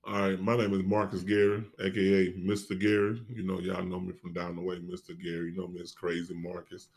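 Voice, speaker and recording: Very deep-voiced American man, casual guest. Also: monotone, brisk, gravelly. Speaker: podcast guest, stage play actor. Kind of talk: casual interview talk.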